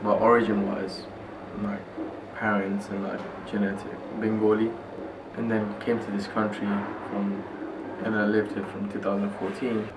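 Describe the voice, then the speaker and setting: Soft-spoken young man, distant and echoey. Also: on the low side, flat delivery, normal speed. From Italy. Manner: hesitant, many filler words. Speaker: interviewee. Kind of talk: personal reflection in interview. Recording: echoey, distant mic with loud ambient noise.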